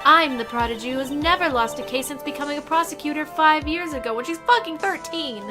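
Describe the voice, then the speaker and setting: A woman voicing an arrogant young female prosecutor who speaks with imperious scorn, over loud game music. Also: high voice, a little fast, close to an everyday voice. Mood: scornful. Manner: imperious, insulting, repeats "fool". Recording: game music playing loudly under voice.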